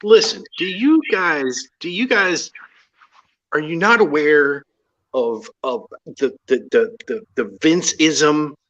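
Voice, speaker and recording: A middle-aged man co-hosting a casual wrestling podcast, excitable and profane, stammering as he builds up. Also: on the high side, takes it slowly, muffled recording. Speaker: podcast co-host.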